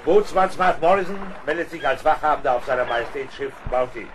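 An actor playing a frank, dutiful boatswain in a radio play, reporting formally and respectfully to a superior. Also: male voice, fairly high pitch, fast, crowd noise, lightly acted. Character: dutiful, frank naval boatswain, seasoned sailor. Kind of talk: German radio play acting.

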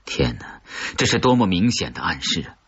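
A man narrating a suspense horror story from a script, with a husky, brooding, dramatic delivery. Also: low voice, sing-song, moderate pace, fairly bright tone. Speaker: audiobook narrator of horror fiction. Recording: dull, muffled lo-fi audio.

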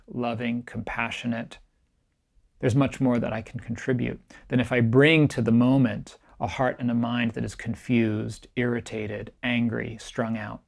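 A middle-aged man, a meditation teacher, giving a calm, reflective keynote talk to camera in a small, bright room. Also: mid-range voice, vocal fry. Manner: calm, reflective, gently emphatic. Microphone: camera framed at chest height, facing the speaker.

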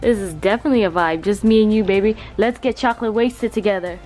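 A young woman chats to her camera as she tours a hotel room. Her talk is casual and full of slang, and her pitch sits mid-range for a woman. Faint music plays under her voice. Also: fast talker, a little mellow. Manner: chatty, slangy, talking to viewers and partner. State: voice raspy from just waking up. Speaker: vlogger.

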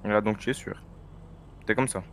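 A man's voice: lowish voice, steady tone, moderate pace, fairly bright tone. A man in casual game voice chat, questioning insistently like a moderator. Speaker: server admin or moderator in a roleplay game. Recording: game voice chat with faint noise.

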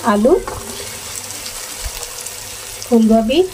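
A woman narrates a home recipe step by step. She instructs plainly and repeats details as she goes. She is recorded at the stove, with clear sizzling and frying noise behind her. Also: fairly high pitch, moderate pace, dark tone. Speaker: home cooking show host. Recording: noisy kitchen with sizzling pan. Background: frying, sizzling, stirring.

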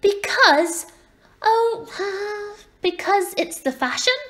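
A woman's voice: very high voice, lively intonation, slow, fairly bright tone, voice pushed up, heavily acted. A woman voicing a bold, proud, know-it-all little schoolgirl in a storybook, pert and assertive. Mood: proud, assured.

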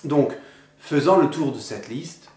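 Measured man lecturing in a roomy space. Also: fairly high pitch, expressive, darkish tone. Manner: measured, addresses viewer informally, reads out paired words. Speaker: video lecturer on the dialect. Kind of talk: didactic explainer to camera. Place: indoor room with books on a table. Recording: roomy echo.

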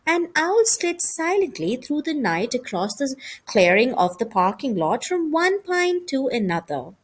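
A woman reading a children's novel aloud as an expressive storybook narrator. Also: on the high side, husky. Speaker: storybook reader.

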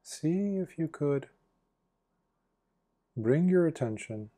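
A man leading a guided meditation as a Buddhist monk, speaking gently in short phrases with long pauses between them. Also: a little high, animated.

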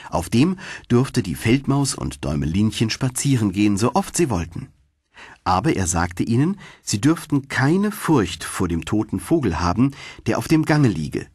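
A man narrating a fairy tale for children as an audiobook, in an expressive, dramatic storytelling manner. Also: medium pitch, breathy edge, no echo, studio quality.

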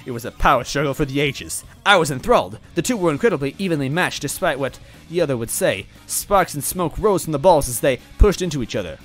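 A man's voice: fairly high pitch, animated, quick pace, few pauses. A man giving a playful, dramatic reading of fan fiction, with wry asides. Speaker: storyteller. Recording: faint music underneath.

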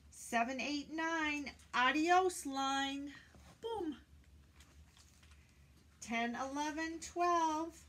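A woman's voice: high-pitched, very slow, pauses often, a bit bright. An older woman doing a children's read-aloud, teaching in a sing-song way and putting questions to her young listeners. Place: small indoor room lined with bookshelves.

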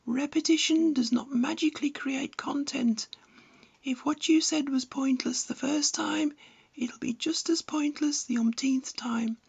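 A woman's voice: sits high, unhurried, creaky, darkish tone. A woman reading scripted instructional narration in a blunt, didactic manner. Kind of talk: scripted narration, tutorial with opinionated advice.

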